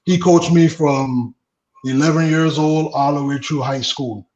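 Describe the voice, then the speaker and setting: A man in his late thirties from the Virgin Islands, a guest telling stories about his baseball days in a relaxed way, laughing easily and trailing off with fillers. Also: a little high, a little slow, roomy sound, muffled recording. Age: late 30s. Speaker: guest, former baseball pitcher. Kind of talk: casual interview storytelling.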